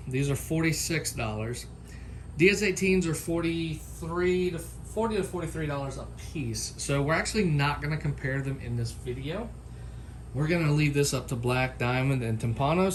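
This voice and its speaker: Casual man, noisy recording. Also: on the high side. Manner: informal, folksy, unscripted. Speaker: product reviewer. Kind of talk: casual unboxing and comparison vlog.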